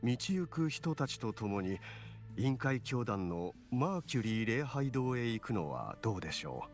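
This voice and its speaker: A man's voice: medium pitch, big pitch swings, brisk, faint music behind. An actor playing a polite, earnest, hesitant clergyman in a video game, speaking courteously. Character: polite, earnest, hesitant male church cleric.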